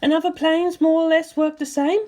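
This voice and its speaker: A bold young woman fighter from a spoken adventure story, voiced by its reader, tossing off her lines with breezy, cheerful confidence. Her voice sits far higher than the narration around her, light and playful even with danger ahead. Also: normal speed. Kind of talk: audiobook character voice.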